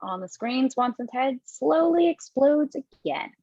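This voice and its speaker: A woman's voice: high voice, animated, unhurried, creaky, warm tone, husky, no room sound, lo-fi sound. A woman reading scripted stage directions as narrator at a table read.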